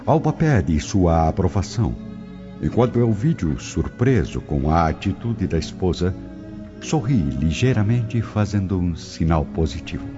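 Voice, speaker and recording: A man's voice: low-pitched, big pitch swings, unhurried. A man narrating a scripted audio drama in a dramatic storytelling style. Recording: dull lo-fi, loud music behind. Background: loud music.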